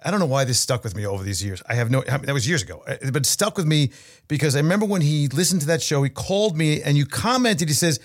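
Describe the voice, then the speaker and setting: A man's voice: a little high, lively intonation, quick pace. A man hosting a long-running interview podcast, talking in a friendly, rambling way with many restarts. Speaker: podcast host.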